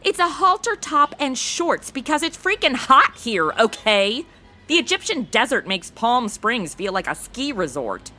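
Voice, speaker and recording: Bright, high-pitched sassy girl. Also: very animated, a little slow, exaggerated voice. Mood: flippant. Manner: slangy, flippant, sassy. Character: brash, sassy modern young woman stuck in radio shows. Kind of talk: comedy audio drama acting.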